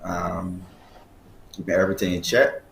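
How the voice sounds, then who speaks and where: A man's voice: sits low, fairly even intonation, moderate pace, creaky. A man who is an athlete and team manager, giving short interview answers. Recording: echoey room, faint noise.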